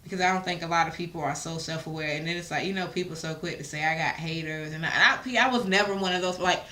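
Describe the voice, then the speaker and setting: A woman's voice: fairly low pitch, fast talker, pushed and bright. A woman chatting casually in an interview, candid and emphatic, with slangy phrasing and free swearing. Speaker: guest.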